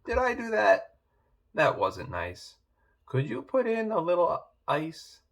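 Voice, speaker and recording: Man acting a gruff, slow big bad wolf. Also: high-pitched, huge pitch swings, voice pushed up, heavily acted. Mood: sheepish. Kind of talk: children's storybook read-aloud.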